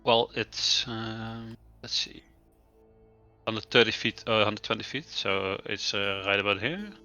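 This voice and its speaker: A man playing in a tabletop game, teasing the others and thinking his moves through out loud. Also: on the low side, unhurried, muffled recording, soft background music. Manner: teasing, laid-back, thinks aloud. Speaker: player. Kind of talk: casual tabletop game chat.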